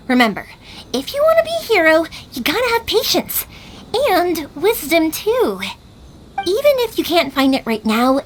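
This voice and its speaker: Bubbly young woman, very high lively voice, big-sisterly, casual, encouraging, anime game, music behind.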